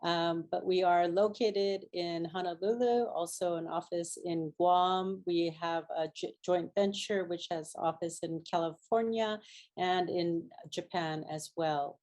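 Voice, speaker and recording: A middle-aged woman from Hawaii gives a lecture over a video call. Her voice sits a little low, and she talks in a halting way, filling gaps with ums and restarting words as she goes. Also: fairly even intonation, darkish tone. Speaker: guest lecturer, architect.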